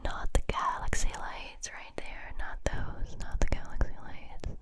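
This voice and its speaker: Slow whispering woman with a stuffy cold. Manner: slow, unhurried whispering with soft trailing phrases. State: congested from a cold. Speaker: ASMR creator. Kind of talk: close-up whispered ASMR. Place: home room with string lights. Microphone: close binaural mic.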